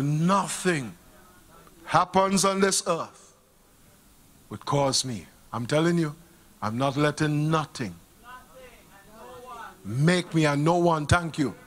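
Middle-aged vincentian pastor preaching in church on a handheld mic, slow and fiery, kinda highish voice. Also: male voice, lively intonation. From Saint Vincent and the Grenadines. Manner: impassioned, swings from hushed to exclaiming. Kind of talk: sermon. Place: church sanctuary stage. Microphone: handheld microphone.

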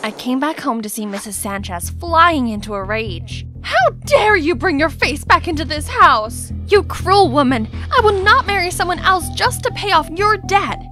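A woman playing an outspoken young woman who narrates her own life story with theatrical drama, as in an animated story video. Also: mid-range voice, moderate pace, hardly any pauses, faint music behind. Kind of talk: scripted first-person story narration.

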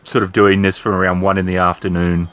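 A man narrating an improvised tabletop roleplaying game as storyteller, describing scenes in a halting way. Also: sits low, little pitch movement, a bit bright, smooth.

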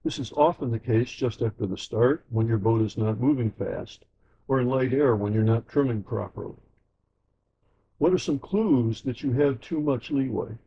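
A man giving a scripted sailing lesson as slideshow narration, in an even, measured explanatory way. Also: medium pitch, gravelly, husky, lo-fi sound. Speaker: sailing instructor.

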